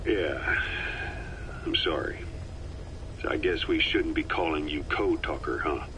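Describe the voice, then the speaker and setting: A direct, inquisitive soldier; low voice, bright edge; faint hiss. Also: male voice, slow pace, full character voice, poor audio. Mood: probing. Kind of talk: game cassette tape dialogue.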